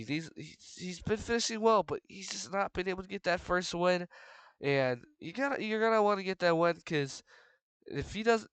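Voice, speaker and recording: A young man with a stuffy, congested voice runs through the standings before a race, stumbling into fillers and restarts as he goes. His voice sits a little high and comes through very smooth and clear. The sound is dry and close, with no room around it. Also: a bit bright, no room sound. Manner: rambling, filler-heavy, frequent restarts. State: congested from a cold. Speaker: race commentator for a sim racing league. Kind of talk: solo race preview commentary.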